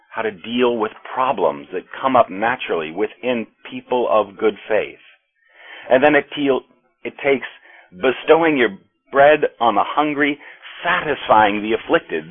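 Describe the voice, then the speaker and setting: An American man preaches a sermon, his low voice a little husky. He speaks slowly and deliberately, pausing between phrases. The sound is thin and tinny, like a phone line. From United States. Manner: slow, measured preaching with pauses.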